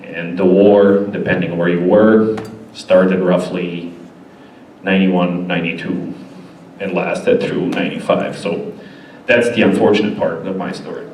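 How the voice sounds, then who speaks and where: A man in his forties from Bosnia, giving a casual, halting presentation at a church with many fillers. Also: sits low, steady tone, darkish tone. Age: 40s. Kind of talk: informal presentation. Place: church hall. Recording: echoey hall, distant mic, faint noise.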